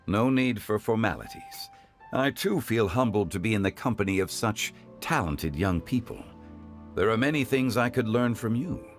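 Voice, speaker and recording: Game role, male actor: courteous learned gentleman, low voice, eloquent and measured with varied melody. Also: expressive, natural voice. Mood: humble, courteous. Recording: voice over background music.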